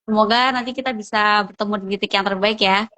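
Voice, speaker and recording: A woman's voice: mid-range voice, fairly even intonation, fast talker, pushed and bright. A woman in her twenties from Pekalongan with a Javanese accent, chatting casually as a podcast guest, laughing often and repeating words. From Pekalongan, Central Java. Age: 20s. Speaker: guest speaker.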